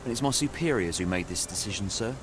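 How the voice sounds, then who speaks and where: A man's voice: lowish voice, lively intonation, fast, natural voice. A man as a blunt sergeant, noisy background. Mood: dismissive. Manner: blunt, unmoved. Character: stolid, dismissive male police sergeant. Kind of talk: video game cutscene acting.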